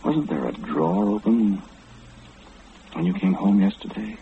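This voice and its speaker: A man's voice: lowish voice, a little slow. An actor in an old-time radio horror play, playing an omniscient, ominous man who confides insistently and questioningly in the listener. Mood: ominous, confiding. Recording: muffled, hissy old radio recording.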